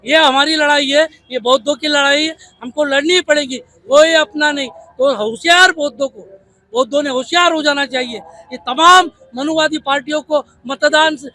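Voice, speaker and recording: A man's voice: very high voice, normal speed, bright, forceful tone, dry recording. A man with a Maharashtrian accent speaking as an activist in an impassioned interview, insistent and fond of repeated rhetorical questions. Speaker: protest leader and party activist.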